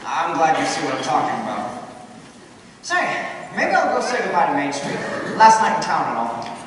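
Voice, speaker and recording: An actor as an eager restless young dreamer. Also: normal speed. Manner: earnest, expressive, swinging pitch. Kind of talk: amateur radio play acting. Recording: echoey hall, distant mic, noisy.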